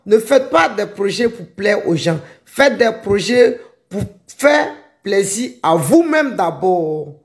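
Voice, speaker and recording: A man's voice: high voice, reverberant. A man giving a motivational talk as an entrepreneur and coach, speaking emphatically and repeating phrases for stress. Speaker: livestock-farming entrepreneur and coach.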